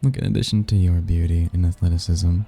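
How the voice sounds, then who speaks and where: Casting: male actor as suave demon seducer for ASMR roleplay, very low gravelly voice, courtly and flirtatious, prideful. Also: steady tone, quick pace, mellow, exaggerated voice. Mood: amused, confiding. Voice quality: husky, gravelly. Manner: smooth-talking, courtly, flirtatious. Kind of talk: ASMR roleplay, one-sided romantic conversation. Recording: music behind the voice.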